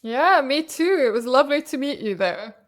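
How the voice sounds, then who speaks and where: A woman's voice: high-pitched, lively intonation, moderate pace. A woman from Finland, a native Swedish speaker, chatting as a podcast guest and telling anecdotes with frequent restarts. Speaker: podcast guest, CEO ghostwriter. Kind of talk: casual interview chat.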